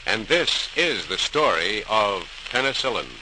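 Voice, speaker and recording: A man's voice: on the low side, big pitch swings, a little slow, over music. A man narrating a scripted story as a 1940s radio host, formal and dramatic. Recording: noisy, crackly 1940s radio transcription.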